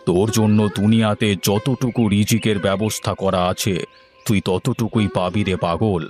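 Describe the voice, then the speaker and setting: A man's voice: lowish voice, steady tone, normal speed, darkish tone, close to an everyday voice. A man voicing a stern but kindly holy sage in a cartoon fairy tale, speaking in solemn, commanding, old-fashioned phrases. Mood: reassuring, wise. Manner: commanding, archaic solemn address. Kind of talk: animated fairy-tale voice acting. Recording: music behind the voice.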